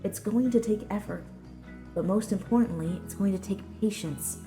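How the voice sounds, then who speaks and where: A woman voicing a gentle, sensible female pony who reassures a friend in an earnest, measured, encouraging way in a story reading. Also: medium pitch, over music, close to an everyday voice. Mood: gently encouraging.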